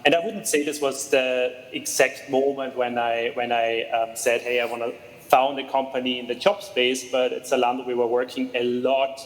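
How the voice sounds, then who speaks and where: A man's voice: mid-range voice, level tone, normal speed, in a large hall, rough recording. A man from Austria, a startup co-founder, answering interview questions over a video call, with frequent fillers and restarts. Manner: hesitant, many fillers and restarts. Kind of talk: conversational remote interview.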